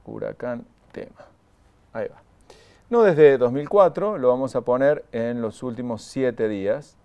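Trainer, male, 40s; explanatory live-class delivery, mid-pitched. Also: unhurried, a little mellow, clean tone. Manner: explanatory, repeats key points, talks to viewers. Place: studio in front of a graphic backdrop.

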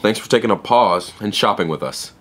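A young store clerk in an audio drama, with a low voice. He is skeptical and blunt, delivering everything in a dry, deadpan, sardonic way. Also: fairly quick. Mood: wry, unimpressed. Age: young adult. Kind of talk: naturalistic audio play acting.

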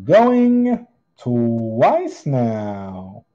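Male online auctioneer, slow-paced and mellow, theatrical singsong bid calls, slightly muffled recording. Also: mid-range voice, huge pitch swings, soft background music. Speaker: online auctioneer, channel host. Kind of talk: live online coin auction calling. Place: indoors at a tabletop display.

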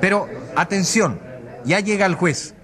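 An actor playing an earnest radio reporter giving suspenseful, articulate live commentary in a courtroom drama. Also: male voice, sits high, a little slow, fairly bright tone. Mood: urgent, earnest. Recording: busy crowd behind the voice.

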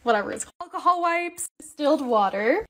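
A young woman with a slightly raspy voice, narrating her home projects in a chatty, excitable vlog voice-over. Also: high-pitched. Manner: chatty, excitable, quick asides. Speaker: lifestyle vlogger.

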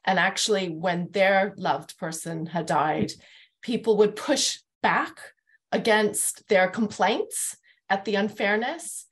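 A woman's voice: medium pitch, slow. A middle-aged woman moderating a reflective discussion over a video call, posing questions to others. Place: living room, video call.

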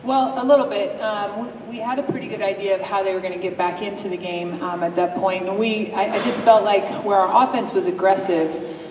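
Measured woman coach in an echoey hall. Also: mid-range voice, fairly even intonation. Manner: measured, thoughtful, with frequent um. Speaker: head coach. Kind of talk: press conference answers. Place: large press room with a table. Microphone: table mic from a distance. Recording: echoey hall, distant mic, room noise.

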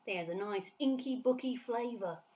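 Woman reading as a gruff bullying giant, brutish male, jeering, broken grammar, storybook villain. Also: on the high side, moderate pace, warm tone, natural voice. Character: brutish greedy male giant, rude and boastful.

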